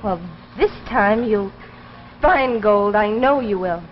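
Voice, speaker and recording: A woman's voice: mid-range voice, slow, a little hiss or hum, lightly acted. An actress in her twenties playing an anguished, devoted daughter in 1950s television drama, with pleading, melodramatic delivery. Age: 20s. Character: anguished, devoted young daughter of failing inventor. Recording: muffled old TV soundtrack, faint music.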